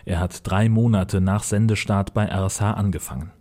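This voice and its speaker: A man's voice: lowish voice, steady tone, normal speed, warm tone. A man narrating a scripted report as a radio reporter.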